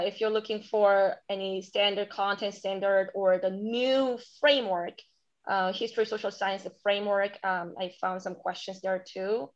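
A woman from South Korea with a Korean accent, presenting a curriculum to teachers on a webinar, halting with many fillers and restarts. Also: mid-range voice. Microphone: video call. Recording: dull lo-fi webinar audio.

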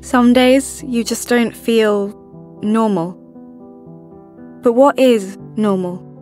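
A woman voice actor reading scripted commercial and documentary narration in a polished, measured way. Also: fairly high pitch, a little mellow.

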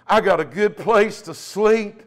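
Slow, higher-voiced preacher man. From United States. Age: middle-aged. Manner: emphatic preaching with frequent interjected amens. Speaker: pastor. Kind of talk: Pentecostal sermon. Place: small church, at the pulpit indoors. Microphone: lapel mic.

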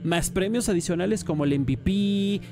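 A man hosting a casual podcast, talking in an animated, enthusiastic way with lots of slang. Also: sits high, moderate pace. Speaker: podcast host and StarCraft caster.

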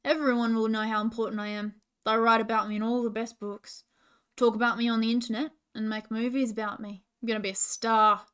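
A woman's voice: fairly high pitch, level tone, brisk, a bit bright, clean tone, lightly acted. A woman voicing a self-important little male wombat for a children's story, given to boasting and easily deflated. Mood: boastful, cross. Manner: boastful, grand, sighing when thwarted.

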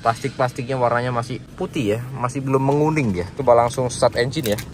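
Casual male car seller, enthusiastic and slangy, medium pitch, handheld recording with clear background noise. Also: steady tone, a little fast. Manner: informal, slangy, enthusiastic sales talk. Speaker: car seller presenting a used car. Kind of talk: casual walkaround review to camera. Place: outdoors by a car and inside it.

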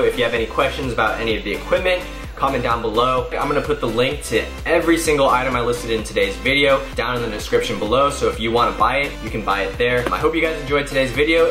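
A man in his twenties talking casually to viewers as a fitness vlogger, upbeat and chatty, filmed at home and in a gym. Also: mid-range voice. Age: 20s. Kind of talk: casual vlog talk to camera.

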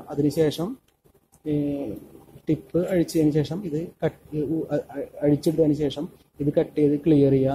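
Halting Malayali man, dark-toned welding tutor. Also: a little high, slight background noise, rough recording. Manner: halting, many filler sounds and restarts. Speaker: welding instructor. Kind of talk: hands-on tutorial voice-over. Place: workshop at a welding table.

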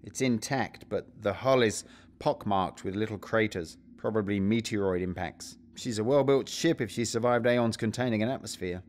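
An actor playing a decisive, wry spaceship captain in an audio drama. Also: male voice, lowish voice, normal speed, a little mellow, lightly acted. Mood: focused. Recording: faint noise behind the voice.

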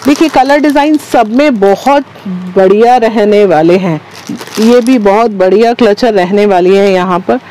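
A woman's voice: mid-range voice, a little slow, mellow, slight background noise, low-quality recording. A woman hosting a shopping vlog, presenting wholesale goods in a repetitive patter of stock phrases. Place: small wholesale market shop, indoors.

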